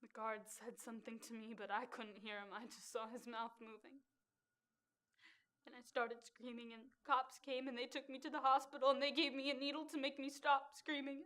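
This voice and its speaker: Woman acting a fragile young woman, theatrical monologue, childlike halting phrasing, haunted, bright tense tone. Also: sits high, level tone. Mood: numb, grieving. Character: fragile, haunted, naive young woman recounting cruelty to birds.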